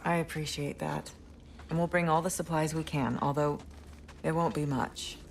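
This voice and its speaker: A woman's voice: deep voice, moderate pace, creaky, slight background noise. A proud, dry-witted noble queen. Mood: firm. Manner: dignified, dry. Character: proud noble queen, stern but caring. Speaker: leader of refugee people.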